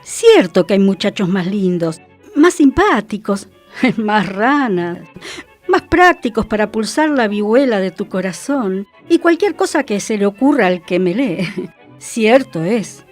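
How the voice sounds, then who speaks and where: A woman with a Buenos Aires accent gives a dramatic, expressive literary reading on the radio. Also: mid-range voice, unhurried, soft background music. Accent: Argentine, Buenos Aires. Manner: expressive, theatrical storytelling. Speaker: radio host and reader.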